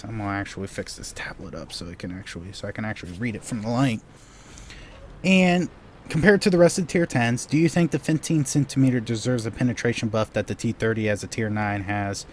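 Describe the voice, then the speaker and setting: A man's voice: mid-range voice, a bit bright, a little hiss or hum. Rambling young man gamer. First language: Korean. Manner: rambling, many "you know"s and restarts. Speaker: gaming channel host. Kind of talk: live gameplay Q&A commentary.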